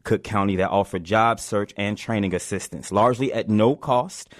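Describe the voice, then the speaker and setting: A man's voice: on the low side, moderate pace, no room sound, studio quality. A man hosting a live community radio interview show, often repeating himself as he goes.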